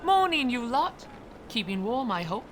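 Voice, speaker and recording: A woman plays a warm-hearted, motherly landlady in an audio drama. Her pitch swings with animation as she reassures and fusses affectionately. Clear background noise sits under her voice. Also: very animated, measured pace. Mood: cheery. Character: kindly, motherly landlady fond of street kids.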